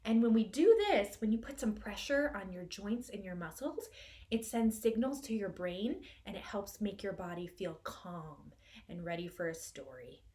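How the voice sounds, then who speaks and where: A woman's voice: medium pitch, normal speed, dark tone. A woman hosting a storytime for young children, explaining things simply and guiding them as she goes. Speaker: library storytime host and reader. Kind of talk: children's storytime read-aloud.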